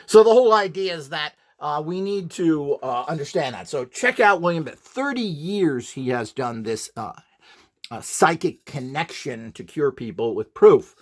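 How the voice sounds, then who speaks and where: A man with a fairly high voice and very lively, swooping intonation gives a rambling, informal lesson. He is blunt and opinionated, dropping sarcastic asides as he explains. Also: moderate pace. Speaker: instructor presenting a build tutorial.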